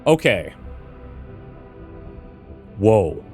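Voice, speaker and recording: A man with a slight cold and a touch of rasp talks through his game plan, thinking aloud with plenty of fillers. Faint music plays underneath. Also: lowish voice, very animated, drawn-out words, a little mellow. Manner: musing aloud, planning with fillers. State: slight cold, a bit raspy. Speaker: let's play host. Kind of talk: casual gameplay commentary.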